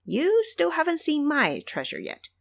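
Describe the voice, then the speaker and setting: A woman's voice: high voice, huge pitch swings, unhurried, creaky, voice pushed up, full character voice. A woman voicing a boastful, crafty male monkey trickster in an audio storybook.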